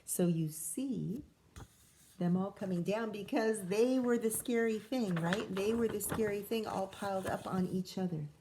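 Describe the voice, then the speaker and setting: A woman teacher reading a picture book aloud to children, animated and pausing to chat and ask questions. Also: mid-range voice, noisy background. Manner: animated, asks the listener questions. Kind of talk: expressive read-aloud with asides to children.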